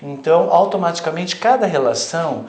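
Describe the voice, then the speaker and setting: A man's voice: on the high side, expressive, brisk, darkish tone, roomy sound. A Brazilian man, a therapist, explaining his spiritual ideas in an interview, reflective and often adding "né". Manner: reflective, explanatory, frequent "né" tags. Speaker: therapist, guest.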